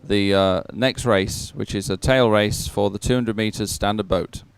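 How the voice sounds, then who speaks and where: Clear-voiced man presenting live sport. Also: on the low side, steady tone, normal speed, no room sound. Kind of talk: unscripted live event presenting.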